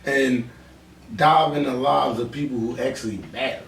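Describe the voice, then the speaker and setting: A man's voice: medium pitch, fairly even intonation, moderate pace, gravelly, distant mic. A man hosting a casual podcast chat, asking prodding questions in informal street slang. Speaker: podcast host interviewing. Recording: echoey room, off-mic, background chatter.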